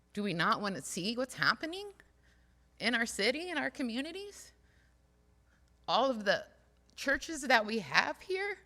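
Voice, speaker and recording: A woman speaking earnestly and haltingly to a church congregation, in an informal, heartfelt reflection, repeating phrases for emphasis. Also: mid-range voice, bright, forceful tone. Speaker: speaker at a church service, community outreach worker. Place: large church space during a livestreamed service. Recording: reverberant hall sound.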